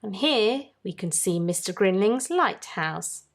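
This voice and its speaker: A woman hosting a children's story time, reading aloud in a deliberate, teacherly way and putting questions to young listeners. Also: mid-range voice, expressive.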